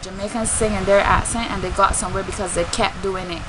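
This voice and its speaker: Woman, Bajan accent, mid-range pitch, outspoken, emphatic, casual vlog talk, noticeable background noise. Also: steady tone, fast talker. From Barbados. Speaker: singer and spoken word artist.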